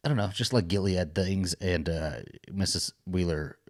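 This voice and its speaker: Low-voiced man chatting casually. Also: normal speed. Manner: joking, slangy, informal. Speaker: co-host of a TV recap show. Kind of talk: casual chat recapping a TV episode.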